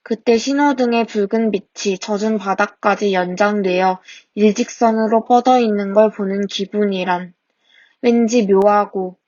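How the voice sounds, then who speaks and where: A woman in her twenties, a student podcast host, reading her own personal essay aloud in a soft, reflective way, now and then stopping to correct a word. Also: medium pitch, fairly even intonation, unhurried, no room sound. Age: 20s. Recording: dry, dull lo-fi home mic.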